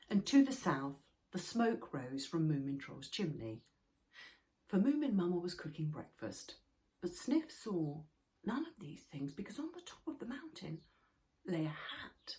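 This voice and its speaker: A woman reading a children's book aloud in an expressive way, sometimes dropping to a whisper. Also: low voice. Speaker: storybook reader.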